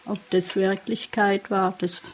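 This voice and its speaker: A woman's voice: medium pitch, level tone, measured pace. A woman speaking casually and unscripted as an interviewee.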